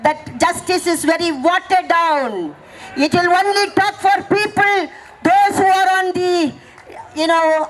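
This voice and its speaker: A woman, hoarse from speaking, gives an impassioned protest speech and fires rhetorical questions at the crowd. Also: very high voice, low-quality recording. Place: outdoor protest gathering.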